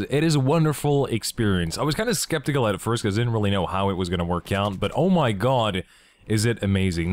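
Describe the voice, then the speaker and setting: Young man, gaming vlog, excited and joking, lively swooping pitch. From Belgium. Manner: enthusiastic, joking, asks himself questions. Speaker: gaming YouTuber. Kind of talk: casual gaming vlog commentary.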